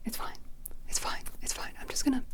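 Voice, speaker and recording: A woman's voice: brisk, a bit bright. Whispering, flustered woman doing ASMR. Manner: fretful, flustered, repeats phrases over and over. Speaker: ASMR creator.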